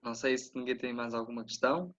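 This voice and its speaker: A man's voice: medium pitch, quick pace, a bit bright. A young man with a Portuguese accent, a student moderating a discussion and chatting casually about his own experience. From Coimbra, Portugal. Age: young adult. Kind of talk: casual chat. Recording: dull lo-fi audio.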